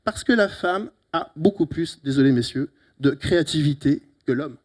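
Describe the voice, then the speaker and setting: A middle-aged man from Italy with an Italian accent, giving a lively, teasing closing talk at a conference on a handheld mic, with sudden loud emphatic bursts. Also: a little high, moderate pace. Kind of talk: lively, joking conference speech. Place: conference hall. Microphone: handheld microphone.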